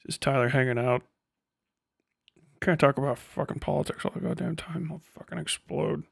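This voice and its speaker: A man's voice: on the high side, moderate pace, vocal fry, slightly rough, no echo, clean studio sound. A man hosting a casual livestream, chatting with his viewers in a rambling, profane way. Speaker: livestream host. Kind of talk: casual livestream chat with chat replies. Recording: music behind the voice. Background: guitar playing and music.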